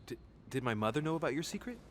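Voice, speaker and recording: An actor playing an earnest young male investigator in a video game, speaking seriously and deliberately. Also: mid-range voice, big pitch swings, big-room sound, slight background noise, lightly acted. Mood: grave, urgent. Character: earnest young male investigator seeking his missing mother.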